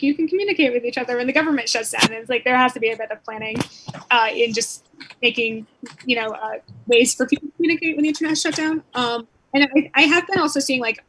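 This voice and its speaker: A woman's voice: fairly high pitch, fairly bright tone, low-quality recording. A woman organizing a community network, explaining things casually with many fillers and restarts over a video call. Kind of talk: casual explanation in an online meetup.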